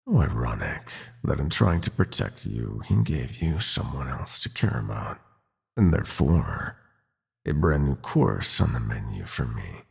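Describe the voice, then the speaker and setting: A man's voice: a bass voice, very animated, measured pace, darkish tone, heavily acted. A man playing a sinister, seductive prince of nightmares in an ASMR roleplay, gloating and possessive, with a theatrical, taunting purr that slips into whispers. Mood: gloating, menacing. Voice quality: gravelly, husky.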